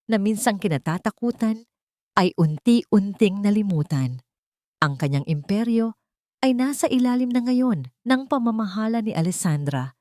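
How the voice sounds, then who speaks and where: A woman with a Filipino accent narrating a scripted dramatic story in a measured, emotive way. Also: mid-range voice, darkish tone, no echo, clean studio sound.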